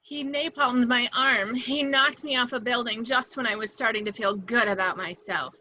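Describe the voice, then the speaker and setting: A woman's voice: on the high side, fairly even intonation, moderate pace, pushed and bright, dull audio. A woman playing a sly, vengeful villainess with a seductive, dry manner, in an amateur film script read. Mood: vengeful, sultry. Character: sultry, vengeful, sly female cat-themed villainess.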